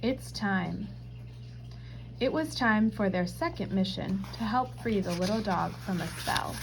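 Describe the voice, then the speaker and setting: A woman reading a children's storybook aloud in scripted, expressive narration. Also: mid-range voice, normal speed, background noise.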